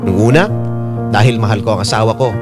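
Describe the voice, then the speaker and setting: A man's voice: on the low side, fast. A man reading a listener's letter as scripted first-person storytelling for a radio drama. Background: piano music.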